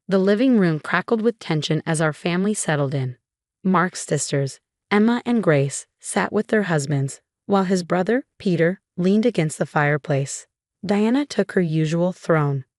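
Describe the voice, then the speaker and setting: A woman narrates a scripted, dramatic first-person story. Her voice sits fairly low for a woman, and the sound is dry and close, with no room around it. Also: dry recording.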